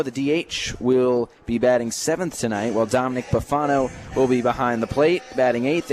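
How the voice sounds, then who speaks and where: An American man doing live radio play-by-play in short, clipped announcer phrases, as a sportscaster. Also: mid-range voice. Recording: noisy live stadium radio broadcast. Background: stadium ambience.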